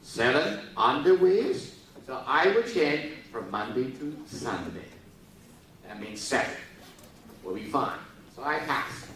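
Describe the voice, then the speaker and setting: A man's voice: on the high side, bright, forceful tone, slight background noise. A middle-aged man giving a joking speech to a live audience at a youth forum, playfully teasing the crowd and prodding them to respond. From Taiwan. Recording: echoey hall, voice from far off.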